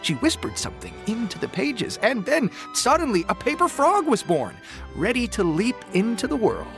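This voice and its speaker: A man's voice: extremely high pitch, wildly swooping pitch, normal speed, over music, full character voice. A man voicing a bumbling, boastful paper forest creature in a video game, theatrical and self-important. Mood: theatrical, awed. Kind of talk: animated video game voice acting.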